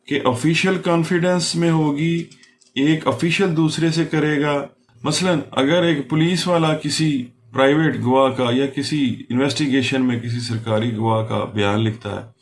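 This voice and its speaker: Male law lecturer, Pakistani accent. Slow, deliberate delivery, mid-range pitch, explanatory, with English terms mixed in. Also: slight background noise. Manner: deliberate, reading quoted clauses word by word.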